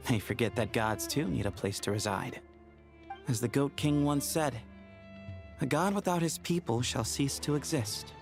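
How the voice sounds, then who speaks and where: A man's voice: mid-range voice, moderate pace, faint music behind, natural voice. A man voicing a composed, friendly young desert temple guardian in a video game, with a storyteller's manner. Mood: reflective.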